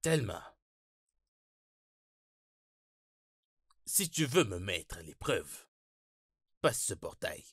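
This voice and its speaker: A man's voice: deep voice, very animated, slow pace, a bit bright, husky, no room sound, clean studio sound, exaggerated voice. An actor playing a stern, menacing middle-aged father, domineering and confrontational, in a dubbed melodrama. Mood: stern, commanding.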